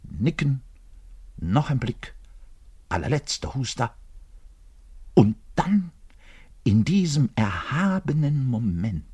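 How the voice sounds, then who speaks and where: Husky, dramatic, lovelorn orchestra musician. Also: male voice, medium pitch, sing-song, slow, pauses often, heavily acted. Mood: hushed suspenseful storytelling, then grandiose, ecstatic. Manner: dramatic, swinging from hushed confiding to loud declaiming. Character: lovelorn, self-important, bitter orchestral double bass player. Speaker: actor in a radio play monologue. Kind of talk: theatrical radio play monologue, confiding in the listener.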